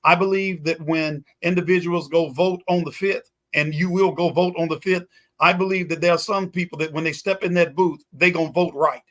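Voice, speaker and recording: A man's voice: on the high side, steady tone, moderate pace, fairly bright tone. A man speaking as a guest pastor in a talk-show discussion, emphatic and repetitive with a preaching cadence.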